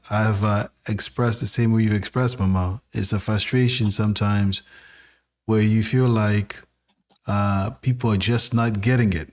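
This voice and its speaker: Slow, halting man hosting a podcast. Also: lowish voice, darkish tone. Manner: slow, halting, many restarts, sermon-like. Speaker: podcast host. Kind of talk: spiritual podcast talk.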